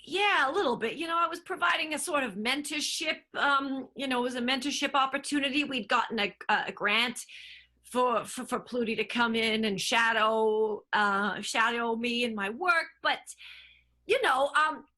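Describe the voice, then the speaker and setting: A woman playing a defensive, falsely casual stage manager who speaks haltingly in an improvised comic radio play. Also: on the high side, sharp tone. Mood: defensive, insinuating. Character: defensive, insinuating stage manager in a vaudeville company.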